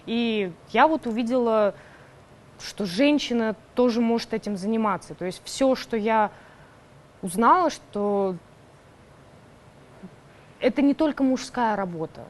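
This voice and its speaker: A woman's voice: fairly high pitch, measured pace, pauses often. A twenty-four-year-old woman from Kazakhstan, a drag performer, telling an anecdote animatedly in a documentary interview.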